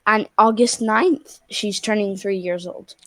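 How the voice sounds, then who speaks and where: A woman's voice: medium pitch, fairly even intonation, a little slow, dry recording. A young girl of about twelve, from Spain, chatting casually on a video call and filling her talk with "like" and restarts. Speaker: stranger on a video chat.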